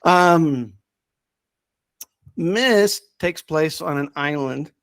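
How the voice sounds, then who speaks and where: Higher-voiced man, halting casual talk. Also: fairly bright tone, no room sound. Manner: halting, thinking aloud with repeated words. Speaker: podcast subject matter expert. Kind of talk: casual chat.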